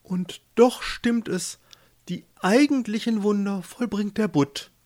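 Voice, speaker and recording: A man's voice: sits high, takes it slowly, a little mellow, voice pushed up. A man voicing a haughty, restless fisherman's wife turned pope in a storybook, speaking in a stately, condescending way. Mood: lofty pity.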